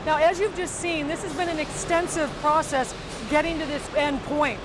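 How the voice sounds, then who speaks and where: A woman's voice: high-pitched, fairly even intonation, normal speed, music in the background, poor audio. A middle-aged woman, a TV news reporter, delivering scripted news narration.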